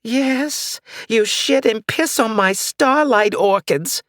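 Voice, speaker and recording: A man's voice: very high voice, slow, fairly bright tone, dry recording, clean studio sound, pitched above the performer's own voice, heavily acted. A man voicing a foul-mouthed, suspicious old woman neighbor who curses and mutters. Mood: cranky cursing.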